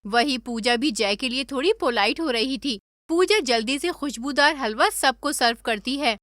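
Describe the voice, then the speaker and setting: A woman narrates a scripted story in a high, bright voice. The recording is dry and close, suited to animated bedtime tales. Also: moderate pace, no room sound.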